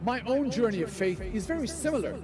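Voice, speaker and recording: A man from Israel speaks English with an Israeli accent, his first language being Hebrew. His voice sits high and his delivery is earnest and measured, like a scripted ministry appeal. Clear music plays underneath him throughout. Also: very animated. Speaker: ministry leader. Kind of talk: scripted promotional narration. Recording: voice over clear background music.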